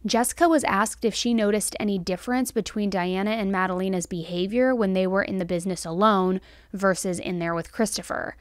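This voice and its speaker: A woman's voice: medium pitch, a little fast, smooth, no room sound. True crime host: American female, 30s, mid-range voice, chatty yet emphatic delivery, tight dry podcast recording. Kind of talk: true crime commentary to camera. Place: small indoor studio set. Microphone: close podcast microphone on stand.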